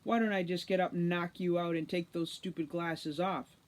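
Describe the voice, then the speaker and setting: A woman's voice: fairly low pitch, steady tone, moderate pace, natural voice. A woman reading a storybook, voicing a wily, defiant captive fairy officer. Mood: deadly serious. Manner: wary, turning coaxing or threatening.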